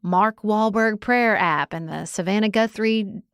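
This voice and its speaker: A woman co-hosting a podcast, talking through a book in an animated, opinionated, conversational way. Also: medium pitch, measured pace, clear, resonant tone, no echo, studio quality. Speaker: podcast co-host. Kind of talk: casual book discussion.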